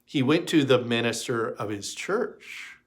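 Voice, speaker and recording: A man reading a story aloud in an expressive storybook narration style. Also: mid-range voice, a little slow.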